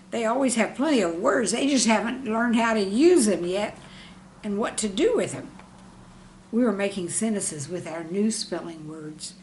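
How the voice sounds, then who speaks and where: A woman, a teacher, reading her own scripted humorous anecdotes in a deliberate storytelling manner. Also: mid-range voice, a little hiss or hum.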